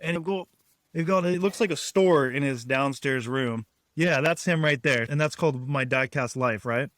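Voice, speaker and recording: A man's voice: medium pitch, normal speed, a bit bright, no echo, studio quality. A man co-hosting a podcast, chatting casually, joking and rambling. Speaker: podcast co-host.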